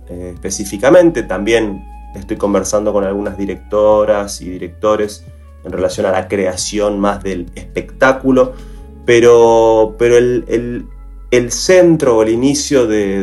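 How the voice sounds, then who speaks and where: A man's voice: on the low side, a little mellow. A man hosting an interview podcast, admiring and prone to repeating words as he starts phrases. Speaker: podcast host.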